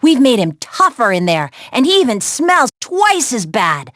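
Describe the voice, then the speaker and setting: A woman playing a spirited, capable young adventurer who guides missions in an animated video game, with a peppy, expressive, conversational delivery. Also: fairly high pitch, unhurried, no room sound, studio quality. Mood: teasing.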